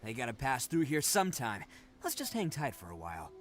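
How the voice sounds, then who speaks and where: A man's voice: medium pitch, huge pitch swings, a little fast. A man playing a brash, boastful young oni brawler in a video game, with a swaggering, slangy delivery. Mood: confident, eager.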